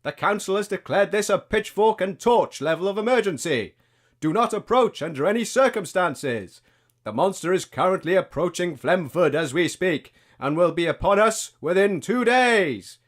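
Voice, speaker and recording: A man playing a pompous town herald, declaiming dire proclamations dramatically in a radio comedy. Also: sits high.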